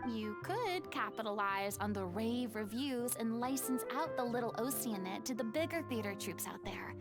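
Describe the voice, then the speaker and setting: An actress playing a poised, reflective former stage star in a video game, speaking in elegant, formal phrases. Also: female voice, high voice. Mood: gracious. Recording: music behind the voice.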